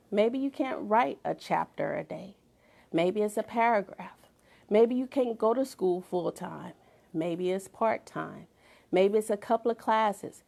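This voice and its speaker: A middle-aged woman speaking at a mid pitch with a gravelly edge. She gives a motivational talk like a sermon, hammering points home through repetition and now and then sinking to a hushed whisper. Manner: emphatic, repeats phrases for effect, drops to whispers. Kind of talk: inspirational talk with preaching.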